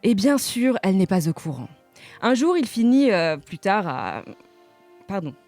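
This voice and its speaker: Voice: mid-range voice, very animated, unhurried, darkish tone, faint music behind. A radio columnist presenting manga in a casual chat, with playful jokes to the listeners. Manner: playful, jokey asides to the audience. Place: radio studio.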